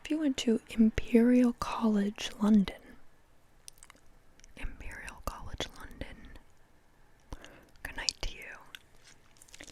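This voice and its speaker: A woman's voice: a little high, slow, long pauses, mellow. Playful whispering young woman, ASMR. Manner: playful, chatty, repeats words. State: dry mouth. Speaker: ASMR host. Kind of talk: whispered ASMR sleep-permission talk.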